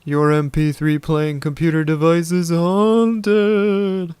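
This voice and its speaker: A man playing a spooky haunting prankster with a slow, drawn-out, mock-scary delivery. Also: fairly high pitch, flat delivery, a little mellow, clear, resonant tone, dry recording. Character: spooky haunting prankster booming a Halloween scare.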